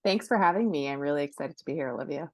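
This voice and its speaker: Female interview guest expert, deep-pitched, very dry sound, conversational and halting. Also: animated, no room sound. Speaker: guest expert, book marketing professional.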